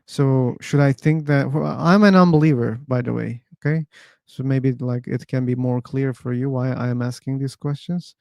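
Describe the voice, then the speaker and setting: Male stream host, gravelly and mellow, pushes guests with pointed questions, dry sound. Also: medium pitch, steady tone, moderate pace, no room sound. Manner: probing, teasing questioner. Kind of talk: casual debate chat. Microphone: streaming setup.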